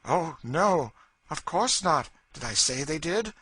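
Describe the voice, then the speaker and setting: A man voicing a cold, mocking woman who taunts her cruel master, in a slow, sneering, eerie way. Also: a little high, sharp tone, slight background noise, pitched above the performer's own voice. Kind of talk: audiobook character voice.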